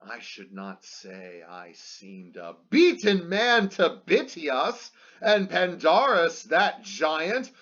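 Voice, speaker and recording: Man performing scornful young warrior prince, epic reading. Animated, declamatory, emphatic delivery; dull lo-fi recording. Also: a little high, takes it slowly, few pauses, exaggerated voice. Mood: furious defiance. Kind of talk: dramatic reading of epic verse.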